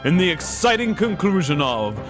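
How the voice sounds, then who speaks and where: A man's voice: high voice, sing-song, moderate pace, over music. A man narrating an adventure serial in a suspenseful, theatrical style.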